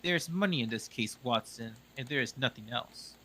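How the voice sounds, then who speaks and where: A man's voice: mid-range voice, lively intonation, bright, forceful tone, a little hiss or hum. A man voicing a confident, dry-witted male detective in a story reading. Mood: assured. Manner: brisk, crisp and dryly assured. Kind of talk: audiobook reading.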